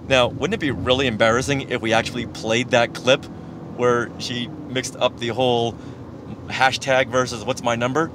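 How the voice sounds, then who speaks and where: A man's voice: medium pitch, little pitch movement, moderate pace, noisy background. A man hosting a podcast in a casual, chatty co-host style. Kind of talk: casual co-hosted podcast chat.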